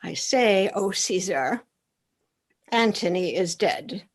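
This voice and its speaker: A woman's voice: medium pitch, lively intonation, slow. A woman playing a solemn, loyal soldier bearing grim news in a Shakespearean radio play. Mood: grave.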